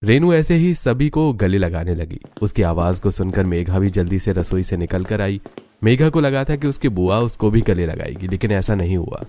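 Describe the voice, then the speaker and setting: A man narrating a scripted animated family story as a storyteller. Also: deep voice, normal speed, a little hiss or hum.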